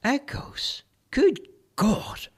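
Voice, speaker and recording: A man voicing Father Saul, a weary, kindly priest who speaks piously and sighs at his lot. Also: drawn-out words. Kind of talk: amateur play read aloud.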